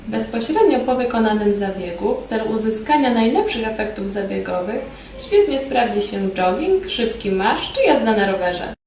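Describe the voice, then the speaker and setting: A woman with a fairly high voice reads scripted training instructions in a formal, measured way. She sounds set back from the microphone in a roomy space, with faint noise behind her. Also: a little mellow. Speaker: instructor presenting a beauty device training film. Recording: roomy echo, distant mic, background noise.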